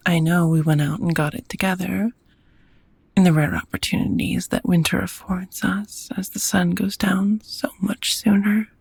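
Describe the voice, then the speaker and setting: A woman playing a gentle, courteous female vampire roommate in an intimate ASMR roleplay, speaking in a tender, softly formal, breathy half-whisper. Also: lowish voice, little pitch movement, moderate pace, dry recording, full character voice. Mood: gently curious.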